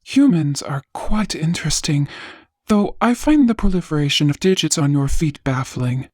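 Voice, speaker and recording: A robot with a very low, artificially pitch-shifted voice and a rough, husky edge. It speaks in a cool, detached monotone, like a machine in a video game. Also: female voice, moderate pace, dry recording, studio quality. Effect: pitch-shifted down robot effect. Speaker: voice actress.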